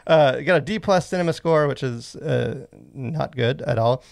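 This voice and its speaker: Gravelly man, casual podcast host. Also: mid-range voice, moderate pace, a little mellow. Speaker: podcast host and movie reviewer. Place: small podcast studio. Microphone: close boom-arm studio mic.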